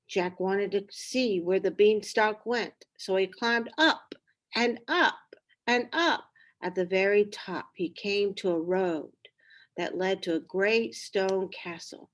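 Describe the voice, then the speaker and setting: A woman reading a children's story aloud in an expressive, deliberate way. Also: medium pitch. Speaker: storybook reader. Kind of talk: scripted children's story narration.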